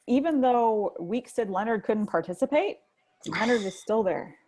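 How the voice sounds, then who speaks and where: A woman's voice: fairly high pitch, animated, normal speed, dark tone. A woman from Canada with a Canadian accent and a slight drawl, chatting casually as a livestream co-host. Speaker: podcast co-host. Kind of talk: casual livestream chat, reading viewer comments aloud.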